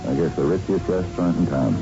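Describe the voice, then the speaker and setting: A very deep, gravelly old police sergeant in a vintage radio drama. He sounds weary and folksy, reminiscing in a halting way. The broadcast is muffled, with clear music underneath. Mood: dry, halting. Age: older. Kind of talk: 1970s radio drama acting, reminiscing narration.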